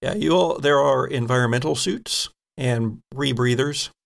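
A man narrating as game master of a tabletop role-playing game, conversational and improvised, pausing as he describes things. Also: mid-range voice, gravelly.

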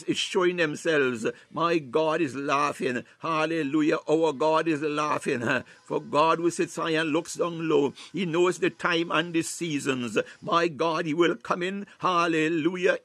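Man, high voice, fervent prayer leader, declamatory preaching, frequent devotional interjections, dry close sound. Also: a bit bright, no echo. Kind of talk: fervent extemporaneous prayer with preaching and scripture quotation.

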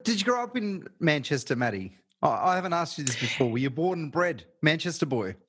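A man's voice: on the high side, very animated, a little fast, fairly bright tone, slightly rough. Friendly male podcast host. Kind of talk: scripted intro then casual interview.

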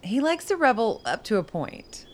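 A woman playing a relaxed, no-nonsense army lieutenant in a radio drama, casual and wry. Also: on the high side, normal speed, slight background noise. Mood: matter-of-fact. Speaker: actor in a radio play.

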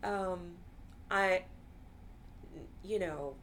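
A woman's voice: fairly low pitch, very slow, a bit bright, slight background noise. A woman therapist whose first language is Urdu, talking earnestly in a casual interview and often saying "you know.".

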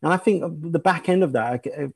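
A middle-aged British man, a singer, telling stories casually as an interview guest in an animated way. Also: a little high, brisk, breathy edge, dry recording. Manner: animated, acts out sounds and remembered dialogue. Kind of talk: casual conversational storytelling.

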